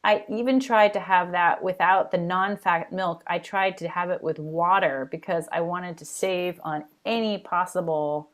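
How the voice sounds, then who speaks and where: A woman guest on a podcast, telling stories in an animated, quick way. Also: on the low side. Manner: animated, quick, laughs while recalling. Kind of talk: casual interview chat.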